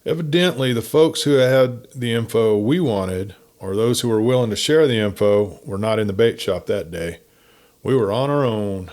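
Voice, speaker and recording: A man narrating a written campfire story in a folksy, conversational, unhurried manner. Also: on the low side, darkish tone. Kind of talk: folksy scripted story reading.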